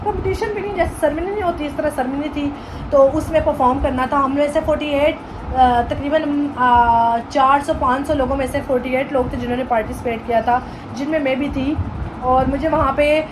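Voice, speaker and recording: A woman's voice: high voice, steady tone, a little fast, background noise. A woman in her twenties with a Pakistani accent, chatting casually in a vlog and mixing in English phrases and playful Punjabi. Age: 20s. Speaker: vlogger.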